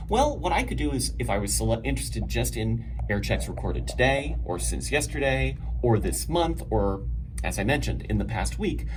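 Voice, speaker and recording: Male tutorial presenter, methodical and explanatory, lively intonation, noticeable room noise. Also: mid-range voice. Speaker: instructor, presenter. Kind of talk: spoken tutorial, step-by-step software demonstration. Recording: clear background noise, room ambience.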